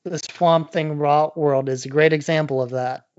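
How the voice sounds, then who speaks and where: A man's voice: fairly high pitch, steady tone, normal speed, a little mellow, smooth, no echo, lo-fi sound. A man co-hosting a podcast in casual, opinionated chat, blunt and prone to swearing. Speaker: podcast co-host.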